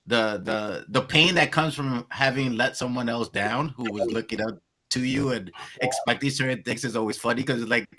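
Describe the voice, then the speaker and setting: A man's voice: mid-range voice, normal speed, bright, forceful tone. A man in his forties from Colombia with a Spanish-inflected accent, reflecting thoughtfully as a podcast guest in a casual long-form conversation. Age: 40s. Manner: reflective, searching for words.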